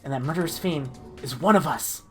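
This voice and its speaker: Low-pitched woman reading a game aloud. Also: brisk. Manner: expressive, stumbling over words. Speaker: reader of the protagonist's lines in a group playthrough. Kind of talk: amateur dramatic read-aloud of a visual novel game. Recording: music playing behind the voice. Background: game music.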